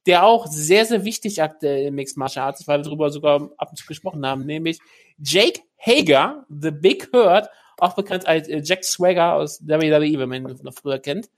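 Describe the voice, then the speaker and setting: Casting: male podcast host, a touch high-pitched, rambling, filler-heavy casual delivery. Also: animated, moderate pace. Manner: rambling, searching for words with many fillers. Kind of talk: casual chat about MMA news.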